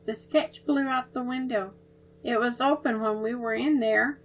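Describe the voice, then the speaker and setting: A woman's voice: fairly high pitch, measured pace, dull audio, faint music behind. Woman doing a timid, whiny little girl.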